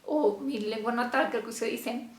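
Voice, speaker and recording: A woman's voice: a little high, unhurried. A young woman from Romania, chatting casually to camera in Spanish and laughing often. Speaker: vlogger. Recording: echoey room.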